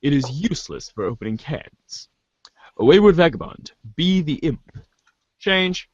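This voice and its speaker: A man's voice: on the high side, animated, a little slow, a little mellow, husky, no echo, highs cut off. A man giving an amateur dramatic reading of narration, theatrical in delivery and now and then stumbling over the text. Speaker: narrator reading a webcomic aloud.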